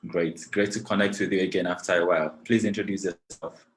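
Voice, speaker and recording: A man's voice: deep voice, steady tone, a little fast, a little hiss or hum. A man from Nigeria, moderating an online panel over a video call in a gracious, formal way, thanking many people at length. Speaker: webinar moderator.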